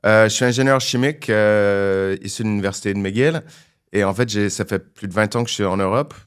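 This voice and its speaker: Canadian-accented man, smooth and low. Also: fairly quick. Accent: Canadian French. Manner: chatty, filler-heavy, energetic. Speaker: investment director. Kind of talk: podcast interview answers.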